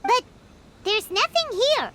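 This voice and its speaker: A woman voicing a tiny, excitable, childlike fairy companion in a video game, squeaky and exclamatory. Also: slow pace, noisy background, full character voice. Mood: puzzled. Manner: exclamatory, refers to herself by name. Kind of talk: scripted video game character dialogue. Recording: loud background music under the voice.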